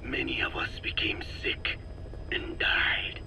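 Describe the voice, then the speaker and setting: An actor playing a solemn, elderly Navajo scientist in a video game, speaking in a breathy whispery rasp with halting, measured phrases. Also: male voice, natural voice. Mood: sorrowful, proud. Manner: slow, measured, halting delivery in short phrases. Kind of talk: dramatic video game dialogue.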